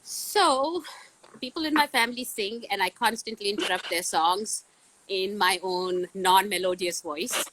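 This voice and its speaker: A woman in her forties, a science teacher, answering interview questions outdoors in a loud, booming voice, laughing often. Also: measured pace, slight background noise, rough recording. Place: outdoors in the wind.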